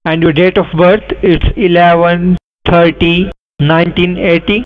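A man with a fairly high voice delivers scripted instructions in a formal, insistent way. He comes through a thin, tinny phone line. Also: little pitch movement, unhurried. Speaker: scam caller posing as an officer.

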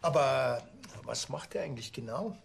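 A man's voice: medium pitch, animated, normal speed, vocal fry, breathy edge, full character voice. A man, playing a sardonic, laid-back village cop in a TV crime comedy who makes dry, mocking remarks.

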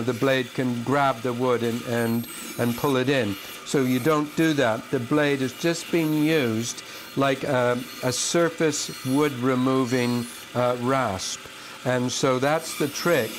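Older man explaining over band saw noise. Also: medium pitch. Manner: calm, measured explanation with frequent restarts. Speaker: shoemaker and last carver. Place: workshop, indoors, next to a running band saw. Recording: clear power tool noise behind the voice.

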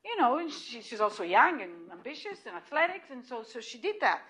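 A middle-aged woman with an Israeli accent, giving an animated lecture in a room, heard from a distant camera. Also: a little high, brisk. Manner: animated and emphatic, with restarts and repeated points. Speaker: lecturer at hospital grand rounds. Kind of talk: lecture presentation.

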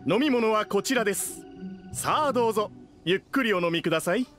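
A jovial game-character barker, coaxing and polite. His high voice swings theatrically up and down, with faint music underneath. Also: expressive, fairly quick, a bit bright. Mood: showy, welcoming. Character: jovial, persuasive male festival host pushing drinks. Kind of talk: Japanese game voice acting.

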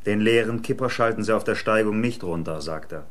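A man's voice: fairly low pitch, a little fast, fairly bright tone, close to an everyday voice. An actor playing a stern but familiar policeman, dry and insistent, in a German radio crime drama.

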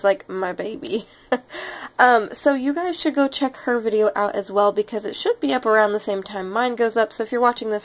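Nasal young woman kinda sick, rambly chatty voiceover with lots of ums. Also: mid-range voice. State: sounds sick. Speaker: art channel host. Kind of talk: casual voice-over chat over a speed paint.

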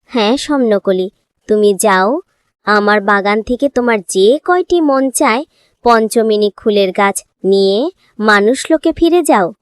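A woman's voice: mid-range voice, lively intonation, unhurried, clean tone, no room sound, lightly acted. A woman voicing a benevolent fairy queen in a cartoon, explaining things solemnly to a young girl. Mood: kindly. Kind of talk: cartoon voice acting.

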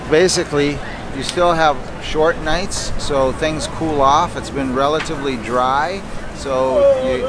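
A man in his fifties, a farmer, giving a relaxed, chatty update on camera at an outdoor city market stall. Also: mid-range voice. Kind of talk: casual on-camera farm update. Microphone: handheld camera close up. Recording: loud street noise outdoors. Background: traffic and market bustle.

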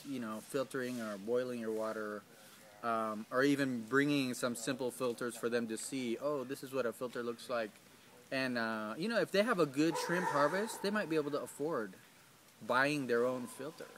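A man in his thirties answering interview questions outdoors in a garden, hesitant, with many ums and restarts. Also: mid-range voice. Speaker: field staff.

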